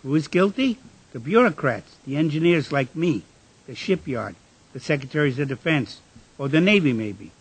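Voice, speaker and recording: A man's voice: fairly high pitch, very animated, normal speed, breathy edge, exaggerated voice, rough recording. An actor playing a bitter, disillusioned engineer in a radio drama, weary and declamatory in his delivery. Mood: bitter, indignant. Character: Francis, bitter, disillusioned male engineer worn down by fighting.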